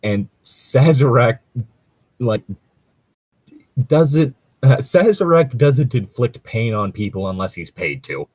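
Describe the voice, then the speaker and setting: A man's voice: mid-range voice, expressive, takes it slowly, a little mellow, breathy edge. A man chatting casually and at length about tabletop role-playing, rambling and swearing often as a co-host. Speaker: co-host reading and discussing stories.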